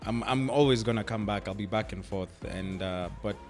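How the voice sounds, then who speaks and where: A man's voice: lowish voice, moderate pace, faint music behind. A young Kenyan man, an actor, chatting easily in a radio interview, laughing often and filling with "like" and "I mean". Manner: relaxed, laughs often, uses "like" and "I mean" a lot. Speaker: actor guest. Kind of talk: radio interview, casual chat.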